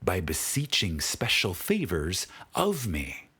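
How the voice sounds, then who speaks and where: Slow, husky man reading a spiritual audiobook. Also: sits low, huge pitch swings, sharp tone. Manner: solemn, measured, with long pauses. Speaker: audiobook narrator.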